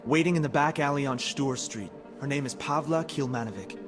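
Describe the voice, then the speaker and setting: An actor playing a wry, secretive Interpol analyst in a video game, informal and conspiratorial in manner. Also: male voice, mid-range voice, a little fast, close to an everyday voice. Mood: wry, urgent. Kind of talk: video game cutscene acting. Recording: music playing behind the voice.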